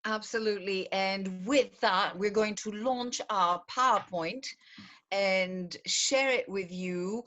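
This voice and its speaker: A woman's voice: mid-range voice, takes it slowly, dull audio. Greek-born woman on a video call, chatty, lots of ums and little laughs, middle-aged. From Greece. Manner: warm, chatty, laughs often, many fillers. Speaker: program director presenting a webinar. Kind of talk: live online information session. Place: home in Paris, on a video call.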